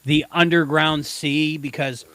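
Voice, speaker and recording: A man co-hosting a casual podcast, excitable and loosely rambling, recorded close on a dry mic. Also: on the high side, measured pace, no room sound. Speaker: podcast co-host. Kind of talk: casual chat.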